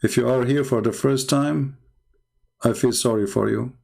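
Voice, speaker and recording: A man's voice: mid-range voice, fairly even intonation, moderate pace, creaky, a little mellow. A man from the Middle East, a native Arabic speaker with an Arabic accent, hosting a casual live stream in a sarcastic, mocking way. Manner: sarcastic, mocking, repeats phrases for emphasis. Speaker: live show host and religious apologist.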